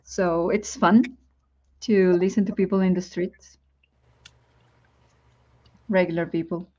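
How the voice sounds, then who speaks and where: A woman's voice: fairly low pitch, steady tone, takes it slowly, dark tone, lo-fi sound. Chatty woman with a Spanish accent. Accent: Spanish-speaking. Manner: chatty, thinks aloud with many ums. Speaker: Spanish teacher, guest. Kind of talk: casual chat on a live stream.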